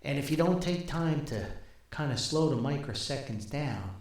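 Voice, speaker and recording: An older man hosting a podcast, telling nostalgic stories in a folksy, rambling way with halting, repeated words. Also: mid-range voice, animated, darkish tone. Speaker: podcast host, author. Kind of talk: nostalgic storytelling monologue. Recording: very echoey, reverberant voice recording.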